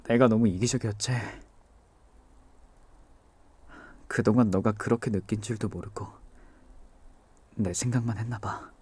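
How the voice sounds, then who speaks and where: A young man voicing a gentle, devoted boyfriend in an ASMR audio drama, speaking softly and tenderly. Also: on the low side, fairly even intonation, fairly quick, husky. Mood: hurt, apologetic. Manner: soft-spoken, hushed and tender. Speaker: voice actor.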